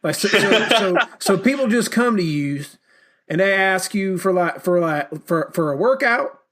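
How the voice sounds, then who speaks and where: A man's voice: high voice, lively intonation, unhurried, a bit bright, voice pushed up. A man voicing his own father, a folksy Southern American dad with a Southern US accent, asking baffled questions in a drawl. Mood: puzzled.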